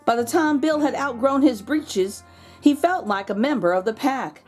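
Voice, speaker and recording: A woman's voice: high voice, normal speed, faint music behind. A woman reading a children's picture book aloud expressively, drawing out key words for emphasis. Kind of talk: expressive storybook read-aloud.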